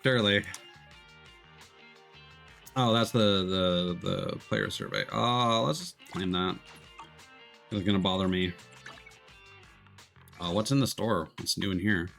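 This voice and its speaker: A man's voice: deep voice, gravelly, fairly bright tone. Man rambling through a game stream. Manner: rambling, halting with repeated filler words. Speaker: VTuber streamer. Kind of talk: casual let's play commentary, reading game text aloud. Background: faint game music.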